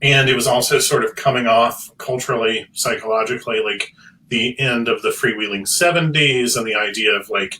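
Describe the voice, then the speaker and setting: A man's voice: medium pitch, a little fast, bright, forceful tone, recorded from a distance, a little hiss or hum, rough recording. A man speaking as a panelist in an articulate, academic way, analysing as he chats.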